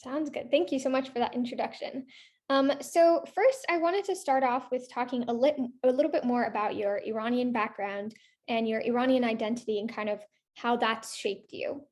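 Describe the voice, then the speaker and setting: A woman's voice: fairly high pitch, normal speed, darkish tone. A teenage girl interviewing a guest, asking polite questions with many likes and ums. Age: teens. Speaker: interviewer for a youth organization.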